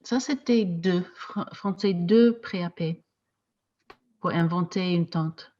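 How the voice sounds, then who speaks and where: A woman teaching French as a second language, talking casually with slow, hesitant speech and frequent restarts. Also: on the low side, dark tone, muffled recording. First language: not French. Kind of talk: casual discussion in a video meeting.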